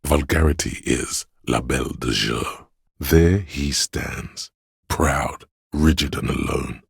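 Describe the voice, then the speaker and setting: Cavernous, gravelly, grandiose inner muse. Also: male voice, clean studio sound, exaggerated voice. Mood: grandiose, theatrical. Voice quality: very deep, gravelly, husky. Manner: slow dramatic pauses, deliberate, portentous. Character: inner voice of a detective's imagination, grandiose, artistic, wry. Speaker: video game voice actor. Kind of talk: theatrical, literary game narration.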